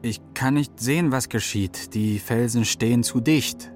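An actor voicing a solemn eagle spirit who reports like a seer in slow, sparse statements in a children's radio drama. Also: male voice, medium pitch, faint music behind, close to an everyday voice. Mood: solemn, watchful.

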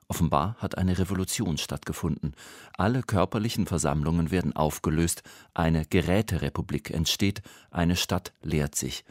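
A man's voice: sits low, darkish tone, no echo. A man presenting a radio programme, reading a scripted introduction in a studio.

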